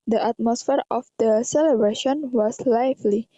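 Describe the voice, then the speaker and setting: A woman's voice: a little high, normal speed, warm tone. A woman with an Indonesian accent reading scripted story narration in careful non-native English. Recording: dull, echoey lo-fi recording.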